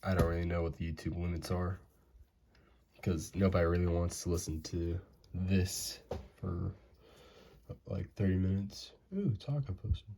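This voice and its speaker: A man's voice: low voice, moderate pace, creaky, long pauses, dark tone. A man casually thinking aloud and rambling as he draws for his channel. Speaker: drawing channel host. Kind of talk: casual drawing commentary. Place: home room.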